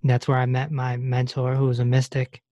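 Very deep-voiced woman host, dry sound. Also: fairly quick, no echo, highs cut off. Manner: relaxed, affirming, shares own stories. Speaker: podcast host. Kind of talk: conversational interview.

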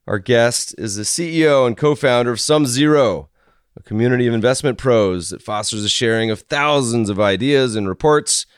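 A hoarse man hosting a podcast, chatting casually with rambling asides. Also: mid-range voice, moderate pace, dry recording, clean studio sound. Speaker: podcast host. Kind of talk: casual interview chat.

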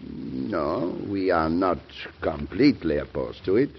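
An actor playing a courteous, cynical, hard-nosed old French statesman with measured formality in a radio drama. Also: low voice, big pitch swings, gravelly, slightly rough, heavily acted. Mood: skeptical, firm. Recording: muffled old radio broadcast recording.

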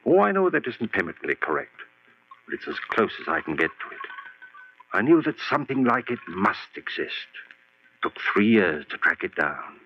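An actor playing an obsessed, domineering surgeon in an old-time radio horror play, commanding, urgent and sure of himself. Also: male voice, lowish voice, sing-song, normal speed, slight background noise. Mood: confident, intent. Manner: commanding, urgent, self-assured. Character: obsessed, domineering male surgeon raising the dead. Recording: dull vintage radio drama recording.

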